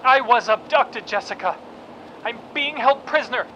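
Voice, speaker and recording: A man's voice: very high voice, normal speed, pushed and bright. Desperate man over noisy radio, acted. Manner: urgent, pleading. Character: desperate captive alien spy pleading with his wife. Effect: radio transmission. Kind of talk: audio drama acting. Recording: dull radio transmission, noisy ambience.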